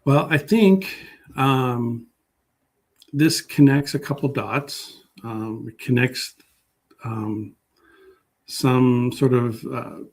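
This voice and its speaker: A man with a medium-pitched voice talks as a guest historian. His delivery is casual and modest, and he often halts while thinking aloud. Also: extremely slow, long pauses, darkish tone. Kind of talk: casual interview chat.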